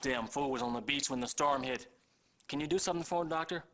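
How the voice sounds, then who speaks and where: A man's voice: medium pitch, fast, a bit bright, natural voice. A man playing a blunt, urgent rescue worker bringing in disaster casualties in a live-action video game.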